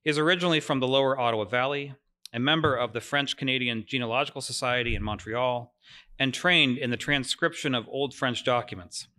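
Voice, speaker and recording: A man's voice: medium pitch, brisk, pushed and bright. A man who directs a museum society, opening a public lecture with housekeeping notes in a friendly, informal way with filler words, in a lecture room. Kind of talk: public event introduction. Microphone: mic over room speakers.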